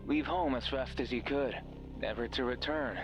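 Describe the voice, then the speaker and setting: A man's voice: medium pitch, moderate pace, lo-fi sound, over music, natural voice. An actor playing a mysterious, controlling male voice in a horror game, taunting, sardonic and condescending. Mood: scornful. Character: mocking, cruel, controlling mysterious male voice on a radio.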